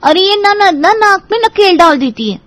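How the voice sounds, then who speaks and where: A woman's voice: extremely high pitch, sing-song, normal speed, a bit bright, no echo, heavily acted. A woman playing a young married friend who is blunt, cynical and emphatic in her warnings, in an audio story drama. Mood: bitter warning.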